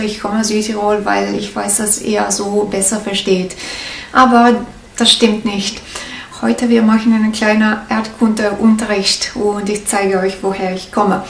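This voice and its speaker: A woman from Trentino with an Italian accent, explaining to her viewers in halting, self-correcting learner German. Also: mid-range voice, flat delivery, a little hiss or hum. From Trentino, northern Italy. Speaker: vlogger. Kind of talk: casual explainer vlog. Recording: echoey room, mic at a distance.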